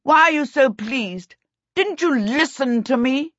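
A woman's voice: fairly high pitch, unhurried, a bit bright, dry recording, dull audio, pitched above the performer's own voice. A woman voicing a haughty, menacing prince in a storybook, imperious and theatrical. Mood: disgusted. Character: haughty, menacing villain prince, male, dramatic and disgusted.

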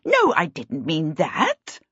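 A woman voicing a brisk, severe, super-efficient middle-aged witch for an audiobook, dry, emphatic and impatient. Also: mid-range voice, huge pitch swings, breathy edge, no room sound, highs cut off, pitched above the performer's own voice, heavily acted. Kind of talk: audiobook character voice.

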